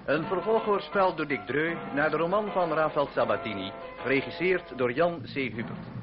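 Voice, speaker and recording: A man's voice: a little high, animated, normal speed. A man reading a scripted introduction as the announcer of a Dutch radio play. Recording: dull old radio recording with music.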